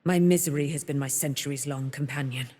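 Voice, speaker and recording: A woman's voice: a bass voice, brisk, a little mellow. An actress voicing a proud, bitter, long-imprisoned immortal warrior woman in a fantasy game, grave and theatrical. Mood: weary, anguished. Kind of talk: dramatic fantasy game acting. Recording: long cavernous reverb.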